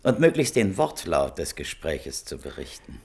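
Formal interrogator with swooping intonation. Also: male voice, rumbling low, sing-song, creaky, a little mellow, breathy edge, exaggerated voice. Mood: probing. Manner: formal, deliberate, pointed. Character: formal, probing male interrogator, courteous but insistent. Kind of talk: German radio play, legal questioning.

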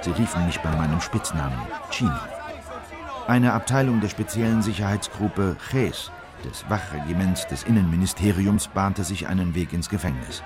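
A man acting as an official who recounts a secret operation in measured, factual radio play narration. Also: sits low, a crowd in the background, close to an everyday voice. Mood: measured, tense. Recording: music behind the voice.

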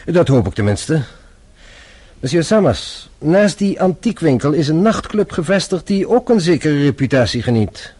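An actor playing a shrewd French police inspector in a radio drama, formal, measured and politely probing. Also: male voice, a little high, very animated, mellow, slightly rough. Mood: dry, measured.

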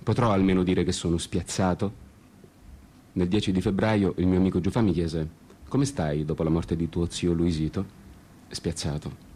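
A man narrating a scripted first-person story in an ironic, disenchanted, deliberately repetitive way. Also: low voice, fairly even intonation, mellow. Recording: prominent music under the voice. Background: plucked guitar music.

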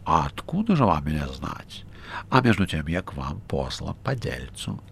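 Picture a cheeky, fanged red-haired rogue from an audiobook, as a male reader puts him on, sly and rude with a deep, low voice. He speaks in an offhand way, and there is faint hiss behind him. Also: very animated, normal speed, vocal fry, a bit bright, exaggerated voice. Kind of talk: audiobook character reading.

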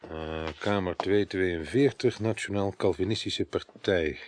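An actor playing a polite, probing, slightly awkward police inspector in a Dutch radio drama. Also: male voice, sits low, measured pace, very noisy. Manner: hesitant, courteous.

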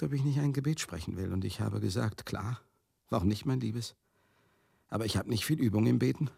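An actor playing a world-weary man in a radio play, confiding a painful memory bitterly and tenderly in halting, repetitive, conversational phrases, with a husky voice that often drops to a murmur. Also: lowish voice, no echo, studio quality, exaggerated voice. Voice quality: gravelly, husky, often dropping to a breathy murmur. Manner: halting, repetitive, conversational, addressing listeners directly. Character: Teddy, world-weary male touring companion recalling a tragedy, bitter and tender. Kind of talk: radio play monologue, intimate confessional storytelling.

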